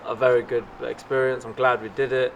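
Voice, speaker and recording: A man's voice: mid-range voice, little pitch movement, brisk, fairly bright tone, rough recording. A young man chatting casually and earnestly as a fundraiser and host. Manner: earnest, informal. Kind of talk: casual chat. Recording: faint outdoor traffic noise.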